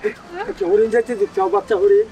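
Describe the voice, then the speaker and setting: A woman's voice: very high-pitched, big pitch swings, fast, vocal fry, exaggerated voice. A woman playing a greedy, bossy hunter in a children's fairy-tale film, pushy and gloating. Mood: pushy, bargaining. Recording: distant from mic, music behind.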